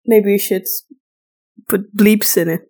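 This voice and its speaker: Female co-host, Flemish accent, native Dutch. Average pitch, informal listener Q&A delivery with hesitant mid-sentence pauses. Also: steady tone, mellow. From Flanders, Belgium. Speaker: podcast co-host. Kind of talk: casual conversational Q&A.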